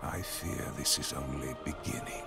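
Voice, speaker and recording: A male actor playing a weary grey-haired archmage in a game cinematic, solemn and measured. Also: very deep voice, creaky, loud music behind the voice. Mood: grave foreboding.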